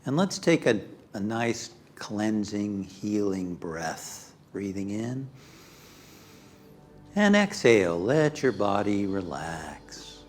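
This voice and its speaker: A man with a fairly deep voice leads a guided meditation very slowly. He is calm and soothing, leaving pauses between short phrases and softly letting them fade. Faint music plays behind him. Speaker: minister leading a guided meditation. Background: background music.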